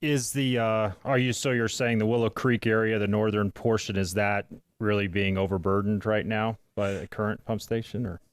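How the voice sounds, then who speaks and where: Man, commissioner, low voice, hesitant questions, dry sound. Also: level tone, smooth, no room sound. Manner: hesitant, halting. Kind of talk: asking questions at a public meeting.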